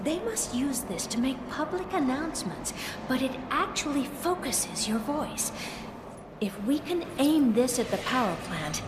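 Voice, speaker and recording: An actress playing a regal, commanding superhero warrior in an animated game, formal and resolute. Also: female voice, sits high, measured pace, noisy background. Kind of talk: animated game cutscene acting. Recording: loud music behind the voice.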